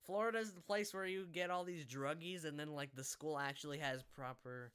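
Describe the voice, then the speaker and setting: Casual male podcast host, smooth and bright, hesitant rambling delivery. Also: fairly high pitch, a little fast. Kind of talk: casual live podcast chat. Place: online voice call.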